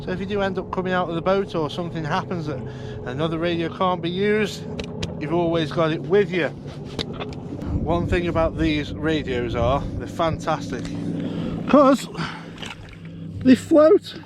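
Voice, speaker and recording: A man with a notably high voice talks casually to camera as he vlogs. He is enthusiastic and explanatory, recorded outdoors on a boat with clear water and boat noise behind him. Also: expressive, measured pace. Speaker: vlogger.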